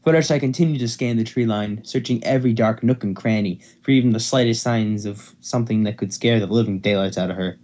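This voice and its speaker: A man's voice: lowish voice, fast talker, hardly any pauses, darkish tone. A man hosting an amateur fan fiction reading, who stumbles over words and repeats phrases as he goes. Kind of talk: amateur dramatic story reading.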